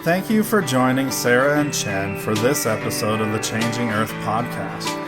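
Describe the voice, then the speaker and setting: A man's voice: mid-range voice, moderate pace. A man reading a scripted podcast outro as the announcer. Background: loud plucked guitar music.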